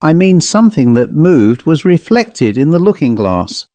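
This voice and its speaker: A man's voice: fairly high pitch, very animated, a little slow, dark tone, no echo. A man voicing a plain-spoken travelling salesman telling a grim storybook tale in a dry, colloquial, conversational manner. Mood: grim, frightened. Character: haunted male commercial traveller, plain-spoken teller of grim tales. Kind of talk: dramatised storybook monologue.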